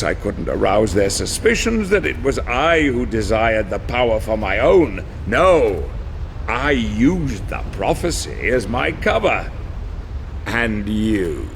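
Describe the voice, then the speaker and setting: An actor playing a treacherous older sorcerer villain in a video game, smug and silky, with a gravelly, theatrical, measured delivery. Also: male voice, lowish voice, big pitch swings, slight background noise, full character voice. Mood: smug, gloating. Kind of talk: dramatic video game cutscene acting.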